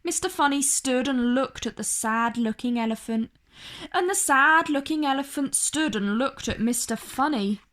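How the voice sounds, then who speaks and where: A woman's voice: high voice, moderate pace, fairly bright tone. A woman reading a picture book aloud for children as a storyteller, speaking directly to young listeners with dramatic emphasis. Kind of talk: expressive picture-book read-aloud for kids.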